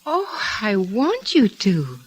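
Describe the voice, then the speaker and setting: An actress playing a sly, passionate teenage daughter of a Russian general, coy and melodramatic in old-time radio style. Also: female voice, medium pitch, wildly swooping pitch, slow pace, breathy edge, heavily acted. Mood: feigned innocence. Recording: noisy, clicking old radio recording.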